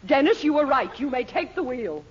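An actress playing a haughty, bossy, ambitious wife, imperious and sharp-tongued, in a 1940s radio sitcom. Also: female voice, high-pitched, very animated, moderate pace. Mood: bossy, indignant. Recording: muffled, hissy 1940s radio recording.

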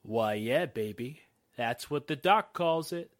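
A man's voice: medium pitch, animated, slow pace. An actor playing a menacing American gangster henchman in a 1940s radio drama, talking in sneering, slangy tough-guy style. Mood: smug.

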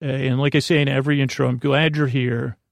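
A man with a creaky voice hosting a bedtime podcast, rambling in a halting, soothing way full of tangents and trailing thoughts. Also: mid-range voice, little pitch movement, no echo, studio quality. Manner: soothing, lulling. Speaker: podcast host.

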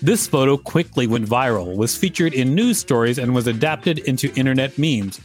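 A man's voice: medium pitch, expressive, moderate pace. A man hosting a show, reading an encyclopedia-style article aloud. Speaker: podcast host and reader. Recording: music behind the voice. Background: background music.

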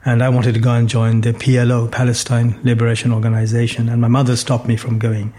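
A man in his sixties, from Bangladesh, telling his life story as a podcast guest. His voice is dark and mellow, and his delivery stays flat and even. He speaks in a measured, thoughtful way, pausing on fillers and restarting sentences. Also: medium pitch. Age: 60s. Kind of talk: reflective life story in conversation.

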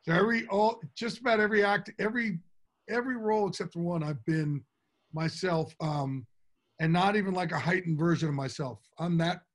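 Big-voiced, lively man, fairly high. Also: fairly quick. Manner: big-voiced, enthusiastic, from the heart. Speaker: interview guest. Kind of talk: casual interview talk.

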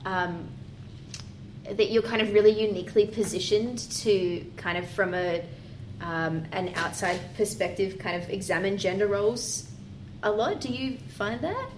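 A woman interviewer with a mid-range voice asks questions in a warm, encouraging way. Her phrasing is tentative and full of fillers like "um" and "kind of". A faint room ambience sits behind her voice. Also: mid-range voice, measured pace, slight background noise, rough recording. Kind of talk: conversational interview.

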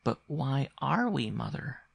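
Storybook small boy, played by a man: raised pitch, earnest, timid but stubborn questioner. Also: sing-song, a little slow, gravelly, breathy edge, no echo, full character voice. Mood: timid, curious. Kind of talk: literary audiobook character voice.

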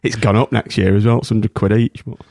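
A man's voice: lowish voice, flat delivery, fairly quick, creaky, dark tone, no echo, studio quality. A man hosting a casual podcast chat, friendly and rambling with many restarts. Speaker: podcast host.

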